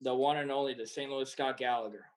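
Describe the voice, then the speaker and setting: Male, 20s, pro athlete guest, relaxed and rambling, average pitch. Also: fast talker. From Croatia and the United States. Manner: relaxed, rambling with many fillers. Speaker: professional soccer player. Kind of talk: casual interview answers.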